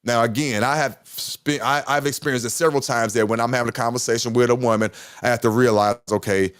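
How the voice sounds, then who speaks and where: A middle-aged man with a deep voice hosting a podcast, talking casually with passion and emphatic repetition. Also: fairly even intonation, quick pace, a bit bright, clean tone. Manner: passionate, emphatic, repeats himself. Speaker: podcast host. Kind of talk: casual monologue.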